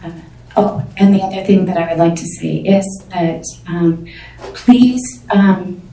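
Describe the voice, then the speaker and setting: Soft-voiced woman, kinda flustered, lots of ums, mellow tone, echoey room, mic's far away. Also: fairly low pitch, unhurried. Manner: soft-spoken and flustered, with many fillers. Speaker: short-term rental owner giving public comment. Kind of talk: public comment at a county board meeting. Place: county board meeting room. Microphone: seated, away from the microphone. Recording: distant, echoey room audio with faint noise.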